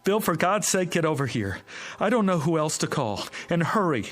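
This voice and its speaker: Distraught dad, urgent, widely swinging pitch, radio play, music underneath. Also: male voice, big pitch swings, normal speed. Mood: urgent worry. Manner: urgent, emotional delivery. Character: frantic father whose small daughter has vanished. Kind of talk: radio drama acting.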